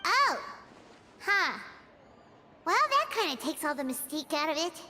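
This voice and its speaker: A very high, bouncy little fairy sidekick, swooping up and down with every excited question. Her game dialogue rings with long reverb over a clear bed of hiss. She sounds endlessly curious and quick to react. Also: exaggerated voice. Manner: excitable, blurts surprised questions. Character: tiny chatty female fairy-like companion.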